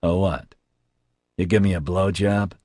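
A man's voice: sits low, fairly even intonation, measured pace, darkish tone, slightly rough, no room sound. A man voicing a foul-mouthed, bullying studio boss in a narrated novel, aggressive and mocking. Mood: sneering.

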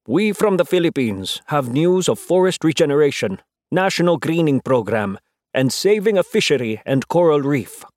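A man representative announcing his country's projects in a steady, formal scripted reading. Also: a little high, normal speed, dry recording, clean studio sound. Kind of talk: scripted roll-call reading.

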